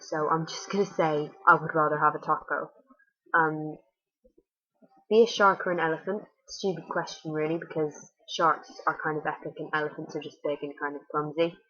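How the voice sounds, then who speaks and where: A teenage girl with a Fermanagh accent talks in a chatty, blunt, wry way. Her voice sits low for a young woman. The webcam sound in her small bedroom is dull and lo-fi. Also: a bit bright. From Northern Ireland. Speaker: vlogger. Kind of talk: casual accent-tag video. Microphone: webcam at desk.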